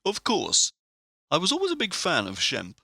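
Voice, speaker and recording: A man playing a dry, prim political colleague in a comedic audio drama, with deadpan, formal and precise delivery. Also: high-pitched, normal speed, gravelly, dry recording, heavily acted. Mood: prying, wry.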